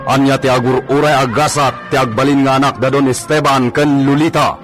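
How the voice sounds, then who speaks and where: Male announcer, Ilocano accent, mid-pitched, dramatic radio serial narration, prominent music behind. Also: steady tone, brisk.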